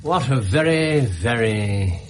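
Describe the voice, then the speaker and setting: An actor playing a shrewd detective in a radio drama, deliberate and probing. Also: male voice, mid-range voice, lively intonation. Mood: intrigued. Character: shrewd, measured male detective questioning a client.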